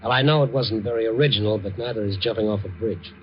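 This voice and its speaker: A man's voice: fairly low pitch, fast talker, a little hiss or hum, lightly acted. An American man acting in a 1950s radio drama as a tough, wry, kindly newspaperman who narrates his night story in an understated way. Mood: calm, reassuring. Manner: wry, hard-boiled, understated. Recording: dull, band-limited 1950 radio recording with music.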